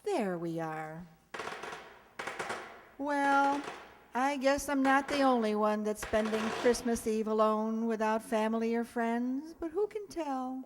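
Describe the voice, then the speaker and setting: A high female voice rings out in a long, echoey hall. It is an actress as a dry, wisecracking schoolteacher from a vintage-style radio comedy, tossing off wry remarks. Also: takes it slowly, slight background noise. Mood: wistful, musing. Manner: dry, sardonic. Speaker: lead actor and narrator. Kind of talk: old-time radio comedy acting.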